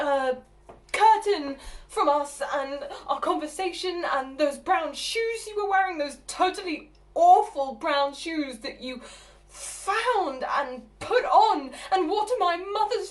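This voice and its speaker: An actress plays a flustered, exasperated woman in a stage monologue. Her voice is high. She stammers, repeats herself and breaks from halting phrases into agitated bursts as she argues with her partner. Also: expressive. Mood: angry, upset. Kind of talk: dramatic theatre monologue.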